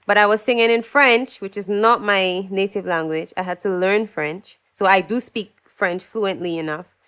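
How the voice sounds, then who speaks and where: A woman's voice: medium pitch, normal speed, smooth. A young adult woman from Dominica, a singer-songwriter guest telling her story in a casual interview and acting out what others said. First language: English. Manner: animated, acts out quoted voices.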